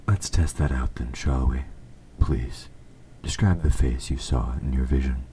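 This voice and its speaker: A man playing an evil, possessive king in an audio roleplay, speaking formally and with measured, quiet menace, his S sounds sharp. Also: extremely low pitch, slight background noise. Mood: coolly testing. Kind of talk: scripted audio roleplay, intimate dramatic monologue.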